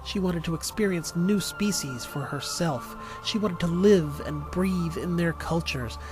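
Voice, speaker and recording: A man's voice: on the high side, measured pace. A man reading scripted narration for an audio drama in a storytelling style. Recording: music under the voice. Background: music.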